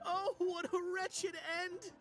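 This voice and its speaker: A jittery young man from a video game, high in voice and full of melodrama. He sounds anxious and easily spooked. Music is clearly audible underneath. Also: level tone, slow pace. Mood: dread, melodramatic. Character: frightened, overdramatic young man begging for help. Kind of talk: animated video game dialogue.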